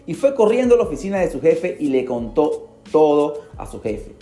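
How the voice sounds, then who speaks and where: A man hosts a podcast and narrates a story in a dramatic, animated way. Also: on the high side, moderate pace. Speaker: podcast host. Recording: roomy echo. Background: faint music.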